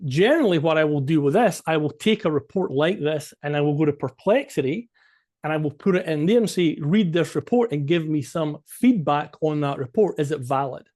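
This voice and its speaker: A man's voice: on the high side, lively intonation, brisk, a little mellow. A man with a Scottish accent giving an informal, explanatory business presentation as a coach, conversational and emphatic, often checking in with listeners.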